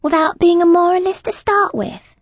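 An actress playing a poised, skeptical film star with a pert Cockney accent in dramatized audiobook dialogue. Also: female voice, very high-pitched, expressive, moderate pace, creaky, dry recording, studio quality, full character voice. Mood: pert, teasing. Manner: pert, challenging.

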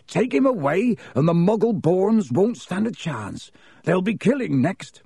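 A huge, shaggy, hot-tempered man, loyal and rough. His gruff growl swings widely in pitch, with rustic dropped sounds, in a storybook style. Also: sing-song, slow, a little mellow, no echo, studio quality, exaggerated voice. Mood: angry, roaring.

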